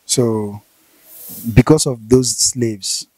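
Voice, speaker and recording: A man's voice: medium pitch, extremely slow, darkish tone, breathy edge, dry recording, low-quality recording. A man in his thirties with a Nigerian accent, hosting a conversational interview with probing, hesitantly phrased questions. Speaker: interviewer, host. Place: outdoors, seated by corrugated metal fence. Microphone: handheld interview mic.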